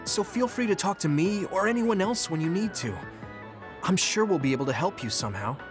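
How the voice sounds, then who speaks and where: A man's voice: sits high, expressive, a little fast, music in the background. An actor playing an earnest, supportive and self-assured teenage boy soldier in a video game. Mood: earnest, grateful. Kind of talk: English video game voice acting.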